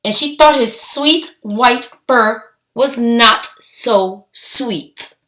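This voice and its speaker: A woman reading a children's story aloud, expressive and emphatic, with deliberate phrasing. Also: on the high side.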